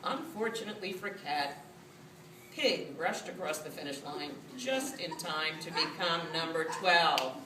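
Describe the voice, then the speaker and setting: A woman narrates a children's story in an expressive way, taking it slowly in a slightly low voice. The recording is roomy. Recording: roomy, distant mic, faint noise.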